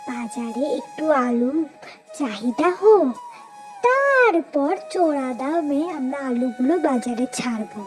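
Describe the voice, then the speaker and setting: A woman's voice: high voice, exaggerated voice. A woman voicing a greedy, scheming, bossy farmer's wife in a melodramatic cartoon. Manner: commanding, melodramatic. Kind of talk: animated storybook cartoon dialogue.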